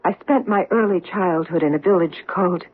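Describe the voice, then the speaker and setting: Vengeful, husky-voiced woman in radio drama. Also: fairly low pitch. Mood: bitter, haunted. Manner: dramatic, deliberate, accusing. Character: vengeful woman survivor holding her enemy at gunpoint. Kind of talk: old-time radio drama acting. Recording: muffled vintage radio recording.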